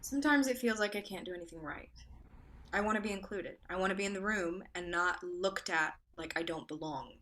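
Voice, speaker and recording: A woman's voice: medium pitch, fairly quick, fairly bright tone, natural voice. An actress in a staged play reading, playing an earnest young woman who teases back in banter and speaks sincerely when serious. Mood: somber, earnest. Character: earnest young woman, the lawyer's sparring friend.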